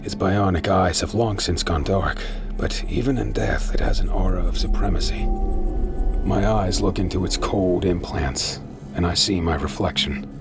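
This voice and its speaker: A man voicing a grim, deep-voiced pirate. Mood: brooding. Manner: grim, measured first-person narration. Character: hardened male pirate scavenger, brooding. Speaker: narrator and lead character. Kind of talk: audio drama narration. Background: loud music.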